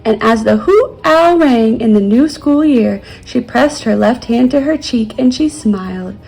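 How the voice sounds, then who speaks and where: A woman teacher reading a storybook aloud to young children in a gentle, soothing manner. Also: a little high, expressive, a little slow, gravelly, poor audio.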